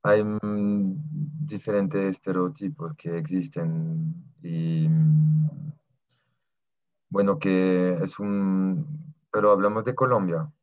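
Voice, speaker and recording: A man's voice: sits low, fairly even intonation, a little mellow, no echo. A young man from southern France, a native French speaker, answering interview questions in a hesitant way and often stopping to find words, heard over a video call. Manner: halting, searching for words with many pauses. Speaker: interviewee, Spanish learner. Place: small room at home. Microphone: laptop webcam on a video call. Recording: dull lo-fi video call audio.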